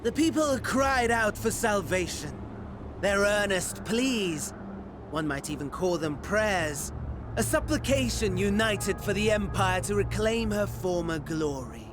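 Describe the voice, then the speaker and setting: A man's voice: high-pitched, lively intonation, measured pace. An actor playing a smug, scheming imperial servant in a video game, grandiose and mock-reverent as he savors each phrase. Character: theatrical, smug villain and scheming male servant of empire. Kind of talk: dramatic video game cutscene acting. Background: background music.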